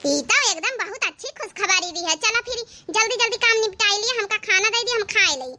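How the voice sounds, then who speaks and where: A woman's voice: very high-pitched, brisk, sharp tone, exaggerated voice. A woman with an Awadhi accent voicing an inquisitive, teasing youngest daughter-in-law in a village comedy cartoon. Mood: pleased. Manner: chatty, curious.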